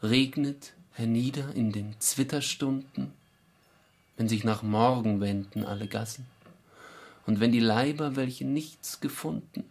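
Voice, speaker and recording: An actor in a radio drama playing a solemn, melancholy man who recites verse in a gravelly voice with measured, weighty phrasing. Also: mid-range voice, darkish tone.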